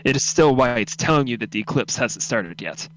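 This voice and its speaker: A man narrates an audio drama in the second person, reading from a script. His pitch sits in an ordinary middle range. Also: expressive, normal speed, fairly bright tone, rough recording. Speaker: narrator of a radio drama read-through.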